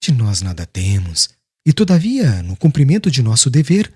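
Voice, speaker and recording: A man's voice: mid-range voice, huge pitch swings, normal speed, darkish tone, slightly rough, no echo, clean studio sound, voice pushed down. A man playing a serene, devout accused man who speaks humbly, solemnly and firmly in an audiobook. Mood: inspired, calm. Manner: solemn, murmuring, preaching. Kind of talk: dramatised audiobook acting.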